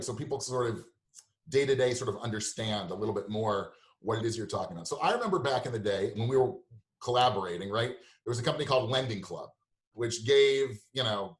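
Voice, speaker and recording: A man's voice: lowish voice, roomy sound. A man hosting a show and interviewing a guest in a conversational, informal, slightly rambling way.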